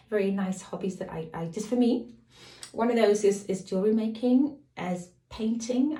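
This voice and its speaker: A middle-aged woman with a slightly croaky voice, casually chatting to camera as a lifestyle vlogger, rambling off on tangents. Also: medium pitch, mellow. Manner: rambling, digresses and apologizes. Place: home. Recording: echoey room.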